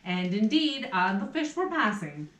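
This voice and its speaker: A woman's voice: medium pitch, sing-song, a little slow, a bit bright, recorded from a distance. A woman voicing a meek, flustered male barber for a puppet play, hesitant and apologetic, in a roomy rehearsal recording. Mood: nervous, pleading. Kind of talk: puppet theatre acting.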